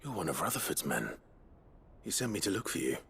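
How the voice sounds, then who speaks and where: An actor playing a stoic, low-voiced lord and sword fighter in a fantasy video game, gravelly and measured in his delivery. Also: very animated, fairly bright tone, full character voice. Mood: calm. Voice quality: deep, gravelly.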